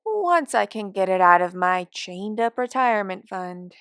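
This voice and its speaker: A woman's voice: medium pitch, very animated, moderate pace, fairly bright tone, clear, resonant tone, no echo, clean studio sound. A woman voicing a cranky, forgetful elderly care home resident in a wry, rambling, complaining monologue from a short story. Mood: fond, conspiratorial. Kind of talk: first-person dramatic monologue of short fiction.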